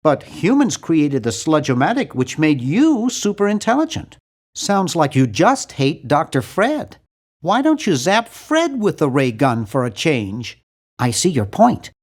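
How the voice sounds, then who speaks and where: An American man voicing a nerdy, nervous young man in a cartoon adventure game, earnest and fussy, with a nasal, awkward, excitable delivery. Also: fairly high pitch, a little slow. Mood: argumentative.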